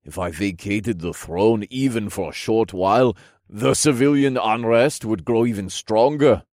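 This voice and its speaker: A man's voice: lowish voice, unhurried, a little mellow, no echo, clean studio sound, lightly acted. A man playing a stately, genial fantasy king. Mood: weary, thoughtful. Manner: formal, stately phrasing.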